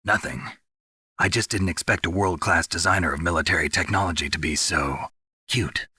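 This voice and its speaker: An actor playing a cynical, dry-witted veteran soldier in a video game, gruff, gravelly and terse. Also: male voice, low-pitched, moderate pace. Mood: flirtatious. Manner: terse, deadpan, sardonic. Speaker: special forces soldier. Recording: very reverberant hall, loud background noise.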